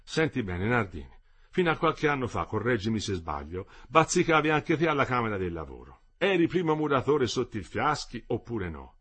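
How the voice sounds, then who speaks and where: Storybook figure: man reading as a bold bricklayer; husky, lively, pointed, a touch raised. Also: medium pitch, a little fast. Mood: challenging. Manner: challenging, pointed. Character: bold young male bricklayer, defiant.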